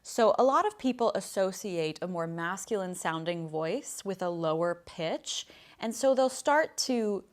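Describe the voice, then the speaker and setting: A woman's voice: fairly low pitch, animated, a little slow. A woman voice coach explaining a question in a friendly, thoughtful and plain-spoken way. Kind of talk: casual explanatory lesson to camera.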